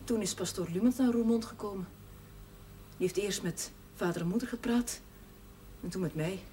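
An actress playing a self-sacrificing priest's housekeeper in a television drama, earnest, plain-spoken and resigned. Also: female voice, medium pitch, moderate pace, dark tone, close to an everyday voice. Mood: subdued, regretful. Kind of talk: naturalistic television drama acting. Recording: noisy old television soundtrack.

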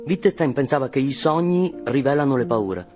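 An actor playing an anxious, stubborn young Iranian-Italian philosophy student in a radio play, earnest and argumentative. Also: male voice, medium pitch, lively intonation, moderate pace, lightly acted. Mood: defensive. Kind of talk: radio theatre monologue and dialogue. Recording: loud music behind the voice. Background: music.

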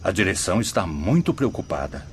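An actor playing a worried, cautious male spirit official in a radio drama, reporting gravely and formally. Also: low-pitched, sing-song, brisk, heavily acted.

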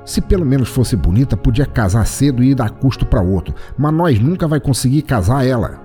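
Male narrator as poor father in a short story: blunt, scornful, dark-toned, swinging intonation. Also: medium pitch, animated, brisk, soft background music, pitched above the performer's own voice, lightly acted. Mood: scornful, bitter. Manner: blunt, complaining, colloquial. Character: bitter, harsh poor farmer father, scornful of his family.

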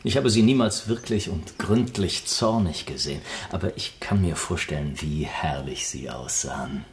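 An actor playing a suave, cynical aristocrat in a German radio drama, urbane and smoothly detached in manner. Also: male voice, low voice, normal speed, slight background noise. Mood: admiring. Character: suave, cynical English aristocrat, worldly older friend.

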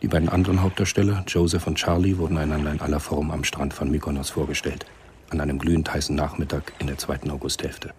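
A man's voice: very deep voice, little pitch movement, fast, darkish tone, slight background noise. A man narrating a scripted German radio drama in a sober, matter-of-fact manner.

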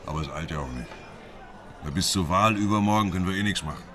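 An actor playing a sardonic, level-headed police investigator in a radio drama, talking in a dry, casual way. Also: male voice, deep voice, fast, a bit bright, a faint crowd. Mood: pensive. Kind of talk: radio drama, conversational dialogue.

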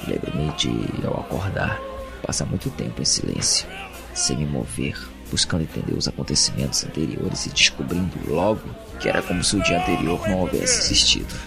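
A man with a Brazilian Portuguese accent playing an anguished captive in an audio drama, narrating his imprisonment in a brooding, deliberate monologue. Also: rumbling low, expressive, creaky, full character voice. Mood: haunted, subdued. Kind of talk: dramatic first-person monologue. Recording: music bed under the voice.

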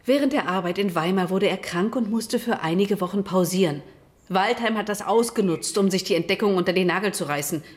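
A middle-aged woman doctor in a radio drama, played by an actress, sounding bitter and guarded. She talks in a measured, naturalistic way with cool disdain, at an ordinary medium pitch. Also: close to an everyday voice. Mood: cool, indignant.

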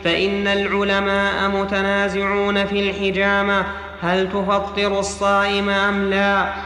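A man's voice: high voice, monotone, moderate pace, bright, forceful tone, faint music behind. A man reading a classical religious text aloud as a student in a lesson, in a formal reciting manner. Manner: formal recitation, repeating phrases when corrected. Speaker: student reader. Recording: echoey hall with long reverb.

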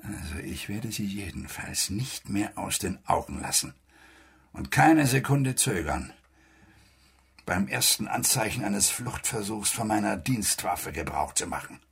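An actor playing a stern, threatening middle-aged army major in a German radio play, with a biting, insulting manner. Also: male voice, lowish voice, expressive, a little slow, creaky, husky, full character voice. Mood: menacing.

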